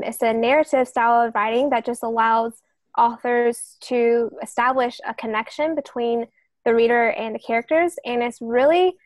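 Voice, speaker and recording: Higher-voiced woman explaining casually. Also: no room sound. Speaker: podcast co-host and author.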